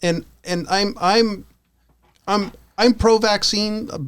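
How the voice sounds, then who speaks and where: A man's voice: sits high, steady tone, takes it slowly, clean tone. American man rambling through a casual podcast discussion. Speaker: podcast co-host.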